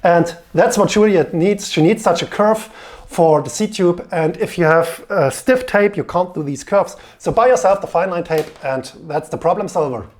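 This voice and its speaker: A man commenting on a bike painting video, explaining tape and materials in an instructive, slightly halting way. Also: high-pitched.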